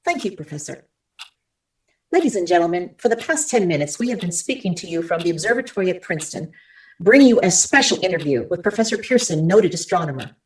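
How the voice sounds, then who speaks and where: A woman's voice: lowish voice, sing-song, fast, rough recording. A woman playing an earnest radio commentator, reporting and interviewing in a formal old-time radio drama style. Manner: formal, clearly phrased, attentive questioning.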